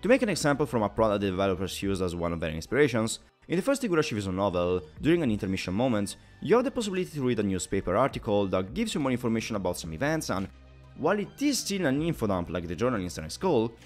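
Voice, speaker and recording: Animated man narrating a scripted review. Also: fairly low pitch, very fast, soft background music. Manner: wordy, explanatory, addresses the viewer directly. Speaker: video game reviewer.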